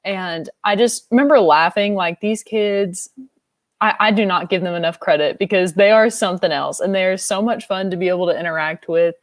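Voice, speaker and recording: A young woman telling a funny story on a youth panel with a lively, self-mocking manner. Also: on the low side, fairly quick, no echo, clean studio sound. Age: young adult.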